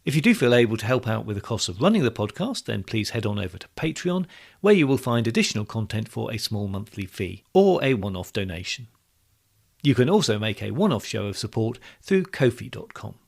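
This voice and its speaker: A British man hosting a podcast, reading scripted closing notes in a measured, polite and orderly way. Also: on the low side, very animated, creaky, a little mellow, breathy edge. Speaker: podcast host. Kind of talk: scripted podcast outro narration.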